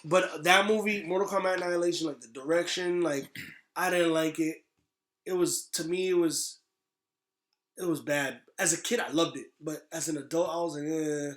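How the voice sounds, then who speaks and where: A man's voice: fairly high pitch, steady tone, normal speed, a bit bright. A man talking casually and informally as a podcast host, giving blunt opinions with some swearing. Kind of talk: casual chat about movies. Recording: roomy, echoey sound.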